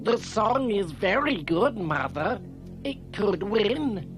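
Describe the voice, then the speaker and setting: A woman's voice: mid-range voice, very animated, takes it slowly. A woman voicing a whiny, doubtful young android minion of a villainess in a puppet sci-fi series. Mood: deferential. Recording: music behind the dialogue.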